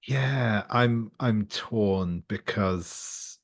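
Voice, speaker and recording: A man with a low voice talks very slowly as a player in a tabletop game. He is hesitant, feeling out each phrase. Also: vocal fry. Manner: hesitant, repeats words while thinking.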